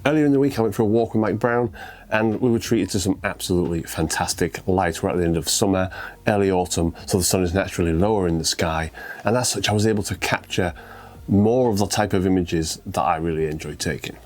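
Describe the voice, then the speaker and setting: A deep-voiced, middle-aged man talks through his photography. His voice is stuffy and a little croaky from a cold. He keeps it relaxed and conversational, with the odd filler. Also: quick pace. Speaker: photography video host.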